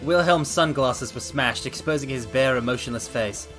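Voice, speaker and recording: A man's voice: medium pitch, normal speed, fairly bright tone. A man streaming a visual novel, reading the narration aloud theatrically and adding casual asides. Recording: faint game music behind voice. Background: game soundtrack.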